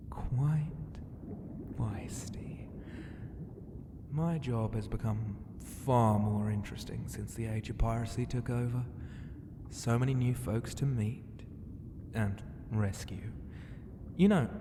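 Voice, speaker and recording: A man voicing a flirty, mighty ocean god in an ASMR roleplay, teasing and grandiose, with a breathy voice that often slips into whispers. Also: mid-range voice. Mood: amused, flirty. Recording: noisy ambience with long reverb.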